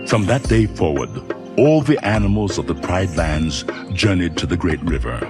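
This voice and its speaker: A man narrating a scripted children's read-along story in an expressive, dramatic way. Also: sits low, normal speed, music in the background.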